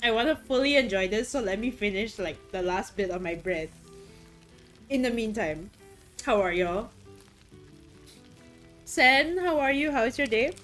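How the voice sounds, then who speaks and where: A woman streaming a video game and chatting casually, excitable and swearing freely, her throat a little rough today. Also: a little high, a little slow, pauses often, soft background music. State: throat a bit rough, voice deeper than usual. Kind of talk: casual chat while gaming.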